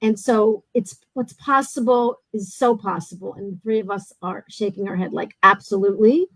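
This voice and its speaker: A woman's voice: medium pitch, fairly even intonation, moderate pace, a bit bright, no echo, poor audio. A woman hosting a video call chat, speaking earnestly and emphatically, often restarting her sentences. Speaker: host. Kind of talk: casual chat.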